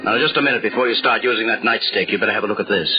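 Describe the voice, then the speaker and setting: An actor playing a sardonic, obsessed private detective, clipped and commanding, in 1940s radio style. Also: male voice, on the low side, rapid-fire, soft background music, close to an everyday voice. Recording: muffled old-time radio recording.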